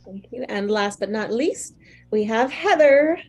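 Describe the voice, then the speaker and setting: A woman's voice: a little high, expressive, a little slow, a little mellow, breathy edge. A woman casually hosting a group event and handing over to each participant. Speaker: host of a live table read.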